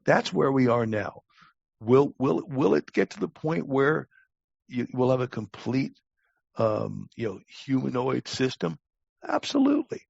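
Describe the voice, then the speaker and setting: A man in his sixties speaking casually as an expert guest in an interview, halting but opinionated and plain-spoken. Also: medium pitch, big pitch swings, gravelly, a little mellow, breathy edge. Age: 60s. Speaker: guest expert, talent acquisition advisor. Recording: muffled lo-fi, roomy sound.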